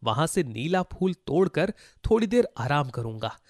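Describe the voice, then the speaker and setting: A man's voice: fairly high pitch, very animated, normal speed, a little mellow, dry recording, clean studio sound. A man voicing a kind, brave boy in a children's story, speaking earnestly and with animation. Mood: tired, resolute. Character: kind, brave poor boy, eager to help. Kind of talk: Hindi children's moral story voice acting.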